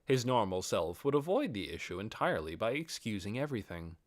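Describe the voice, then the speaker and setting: A man's voice: on the low side, big pitch swings, brisk. A man reading scripted fantasy narration as an audiobook narrator.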